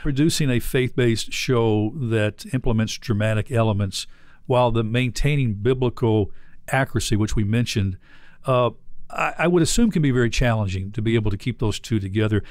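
A man's voice: mid-range voice, moderate pace, darkish tone, dry recording, studio quality. Earnest male interview host. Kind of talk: conversational interview. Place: small indoor studio. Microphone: close studio microphone.

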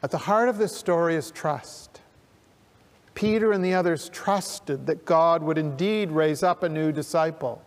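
A man in his sixties preaching a sermon from a church pulpit, speaking earnestly with deliberate pauses into a pulpit microphone. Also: fairly high pitch. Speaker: minister. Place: church sanctuary, at a wooden pulpit. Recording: long church reverb.

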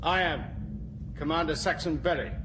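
Actor as grumpy space captain, noisy recording. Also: male voice, on the high side, moderate pace, lightly acted. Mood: guarded. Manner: gruff, dry, sardonic. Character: grumpy, proud male space force captain. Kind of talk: radio drama acting. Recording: noisy old radio recording.

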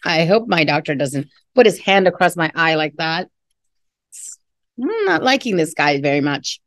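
A woman's voice: low-pitched, sing-song, moderate pace, no room sound, studio quality. A middle-aged woman, a teacher reading a picture book aloud with chatty, friendly asides to young listeners. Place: indoors in a classroom.

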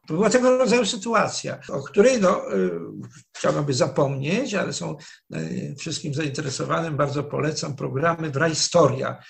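An older man with a strongly gravelly, somewhat high-pitched voice explains history like a university lecturer. He is measured and digressive, often restarting phrases and correcting himself mid-sentence. He speaks through a webcam call from a dark, wood-panelled study. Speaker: professor, interview guest. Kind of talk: explanatory academic talk. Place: study with dark wooden shelves, indoors.

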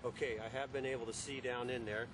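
A man's voice: mid-range voice, fairly even intonation, fast. A man casually narrating his shore fishing trip in an outdoor harbor park, talking in an easygoing, chatty way with frequent fillers. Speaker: fishing vlogger, ship crew member. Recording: outdoor recording with loud wind noise.

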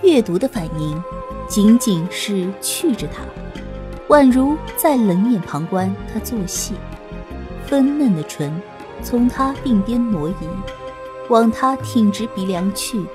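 A woman reads a romance story slowly, with a soft, lilting delivery. Her pitch rises and falls lively, and faint background music plays under her voice. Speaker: audiobook narrator. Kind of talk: scripted romance narration.

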